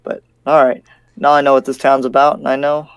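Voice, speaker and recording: A man's voice: mid-range voice, little pitch movement, a little fast, creaky, clean tone, no echo, close to an everyday voice. A man playing a laid-back but hard-line demon slayer in an improvised anime game roleplay, speaking casually and tersely. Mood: relaxed, friendly. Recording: dry, music behind voice.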